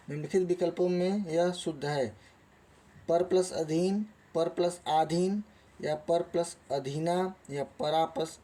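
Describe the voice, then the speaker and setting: Slow-paced male teacher, mellow voice. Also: a little high. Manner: didactic, asks questions then answers them. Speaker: Hindi grammar teacher. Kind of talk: exam lesson, reading out quiz questions and answers.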